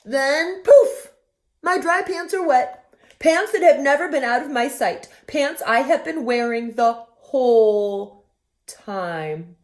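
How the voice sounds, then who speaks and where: A woman's voice: sits high, animated, slow. A woman voicing an indignant male bear who accuses his friends in a huffy, dramatic way. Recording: roomy echo.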